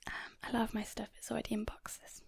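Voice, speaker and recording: A woman whispering in a casual ASMR chat, gently rambling and unhurried, as host of her own video. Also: fairly high pitch, completely flat delivery, gravelly. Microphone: portable handheld audio recorder. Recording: close whispered recording on portable recorder.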